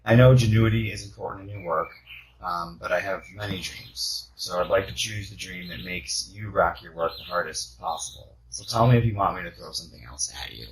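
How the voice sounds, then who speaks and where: A man's voice: low voice, expressive, brisk, slight background noise, rough recording. A man leaving a casual voice message, talking in a rambling, dreamy and candid way.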